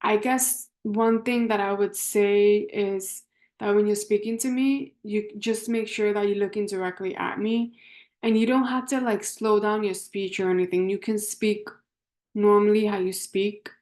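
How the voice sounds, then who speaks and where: A young woman from Miami talks through a casual self-introduction for a class. She speaks slowly and keeps her pitch flat and even, wandering and correcting herself as she goes. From Miami, Florida. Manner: rambling, self-correcting, conversational.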